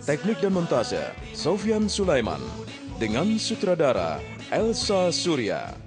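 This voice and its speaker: Man reading radio serial credits, very animated announcer, loud guitar music behind him. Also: a little high, slow.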